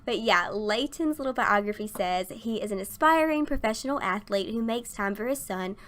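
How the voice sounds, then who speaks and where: A young woman chatting casually through a game voice-over, her voice a little raspy from a sinus infection. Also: mid-range voice, lively intonation, moderate pace, fairly bright tone. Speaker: gaming YouTuber.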